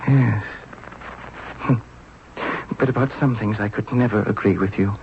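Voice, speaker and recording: An actor playing a mild, earnest young pharaoh and forgiving husband in a 1970s radio drama. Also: male voice, lowish voice, unhurried, gravelly. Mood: amused, tender. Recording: dull, noisy old radio broadcast recording.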